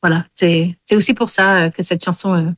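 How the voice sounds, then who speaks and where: A woman's voice: on the low side, steady tone, brisk, no echo. Hesitant woman, native English speaker. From Wales. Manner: hesitant, many fillers. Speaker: storyteller and singer. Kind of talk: interview.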